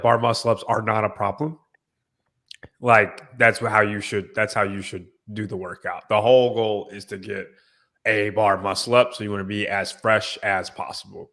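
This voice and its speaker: A man's voice: lowish voice, a bit bright. A man hosting a casual podcast chat, slightly nasal and congested from a cold, teasing and coaching his friends. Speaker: podcast host.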